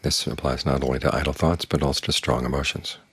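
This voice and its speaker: An older man, a Buddhist monk, giving a calm, plain-spoken evening dharma talk that leans on homely images. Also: very deep voice, fast, slightly rough, no echo.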